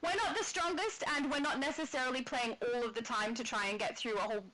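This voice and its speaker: Giggly high-voiced Australian woman. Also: fast, crowd noise, low-quality recording. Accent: Australian, slightly British-tinged. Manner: giggly, chatty, reads chat aloud. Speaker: livestream host. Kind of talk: casual gaming livestream chat.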